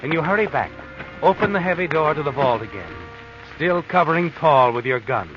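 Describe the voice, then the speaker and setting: An actor playing an eerie, all-knowing mystery narrator, taunting and dramatic, in 1940s radio style. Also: male voice, fairly high pitch, lively intonation, fairly quick, lightly acted. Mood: sly suspense. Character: eerie, knowing, sardonic omniscient narrator of a crime tale. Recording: muffled vintage radio recording with music.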